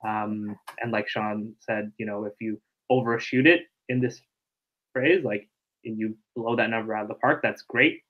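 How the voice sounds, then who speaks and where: A man's voice: fairly low pitch, normal speed. Man explaining casually over dull call audio. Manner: loose, filler-heavy explaining. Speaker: webinar presenter on marketing. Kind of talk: casual live webinar teaching.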